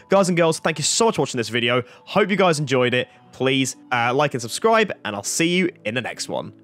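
A man with a croaky voice giving a chatty tutorial voice-over, full of fillers like "like" and "uh". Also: mid-range voice, fairly bright tone. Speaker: flight sim YouTuber.

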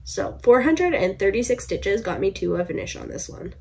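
A woman's voice: mid-range voice, animated, normal speed, vocal fry, darkish tone, husky. Congested young woman chatting to camera. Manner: chatty, meandering with fillers and restarts. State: congested from a cold. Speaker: cross stitch vlogger. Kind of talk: casual chat to camera. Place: home room, indoors.

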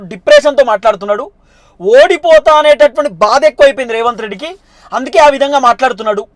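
A man's voice: very high-pitched, no room sound. A man with a Telangana Telugu accent presenting informal political news commentary in a repetitive, explanatory way.

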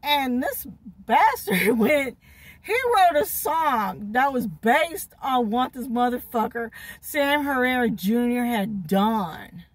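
A woman's voice: on the high side, lively intonation, slow pace, no room sound. A middle-aged woman telling a rambling, profane story in a parked car, laughing and repeating herself, recorded on a phone at arm's length. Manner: swears heavily, laughs often, repeats herself, gestures a lot. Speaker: vlogger. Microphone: phone camera at arm's length.

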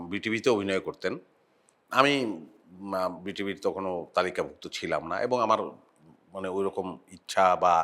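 Low-voiced reflective middle-aged man. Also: fairly bright tone. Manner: reflective, halting with frequent "ah" pauses. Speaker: actor, talk show guest. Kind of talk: casual interview chat.

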